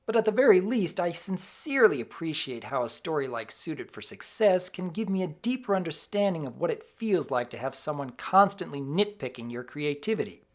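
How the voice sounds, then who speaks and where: A guest commentator giving scripted review commentary in formal, measured wording. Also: medium pitch.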